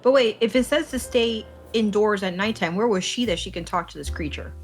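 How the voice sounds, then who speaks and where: A woman's voice: mid-range voice, a little fast, faint music behind. A woman reacting casually to a video as a co-commentator, in short conversational remarks.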